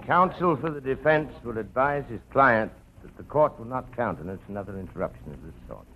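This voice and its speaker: A man's voice: mid-range voice, animated, brisk, highs cut off, lightly acted. Male judge for old-time radio, played by an actor: stern, formal, commanding, varied intonation, noisy recording. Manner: formal, authoritative. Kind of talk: old radio drama acting.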